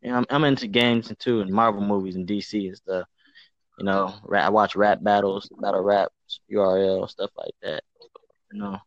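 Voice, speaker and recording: A man's voice: fairly low pitch, moderate pace, vocal fry, no echo, dull audio. A man in his twenties from Ohio, chatting casually and rambling with lots of "you know" fillers. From Ohio, USA. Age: 20s. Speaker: conversation partner, amateur photographer. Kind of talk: casual chat.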